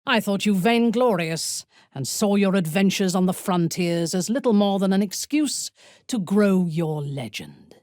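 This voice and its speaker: A woman voicing a ruthless, haughty exiled dark elf warrior in a video game, commanding and menacing, with formal diction. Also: medium pitch, animated, measured pace, no room sound, studio quality, lightly acted. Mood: haughty, scheming. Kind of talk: video game character voice acting.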